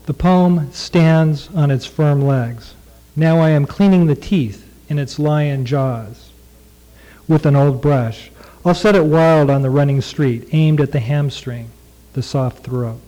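A man with a mellow, fairly high voice reads a prose tribute he wrote for his old teacher. He talks haltingly and softly between passages, then slows down and takes each line with care. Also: poor audio. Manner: halting and soft-spoken between readings, slow and measured when reading. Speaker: former student giving a tribute. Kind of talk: reading a prose tribute aloud.

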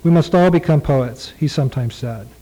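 A man with a mellow, fairly high voice reads a prose tribute he wrote for his old teacher. He talks haltingly and softly between passages, then slows down and takes each line with care. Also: poor audio. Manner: halting and soft-spoken between readings, slow and measured when reading. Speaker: former student giving a tribute. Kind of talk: reading a prose tribute aloud.

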